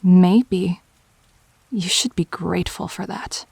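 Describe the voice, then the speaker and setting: A woman's voice: lowish voice, fairly even intonation, takes it slowly, vocal fry, a little mellow, husky, no echo, exaggerated voice. A woman playing a haughty, defiant girlfriend in an emotional roleplay argument, scornful and confrontational. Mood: indignant, scornful.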